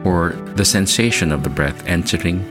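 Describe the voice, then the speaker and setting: A man hosting a guided meditation, speaking in a measured, soothing way with long pauses between phrases. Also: low-pitched. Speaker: meditation podcast host. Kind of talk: slow guided meditation narration. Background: music bed.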